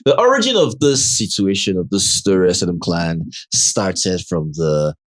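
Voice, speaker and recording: A man's voice: low-pitched, expressive, normal speed. Chatty Nigerian man hosting. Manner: chatty, tags nearly every phrase with "right?"; mixes English with Pidgin and Yoruba phrases. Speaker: host. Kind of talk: casual commentary.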